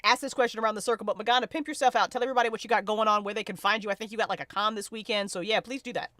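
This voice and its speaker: A woman's voice: medium pitch, fairly even intonation, racing, sharp tone, dry recording. Woman podcast host just chatting, gushy, says stuff a few times over, dry sound. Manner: effusive, gushing, repeats phrases. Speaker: host and writer of a fiction podcast. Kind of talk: casual live-stream chat.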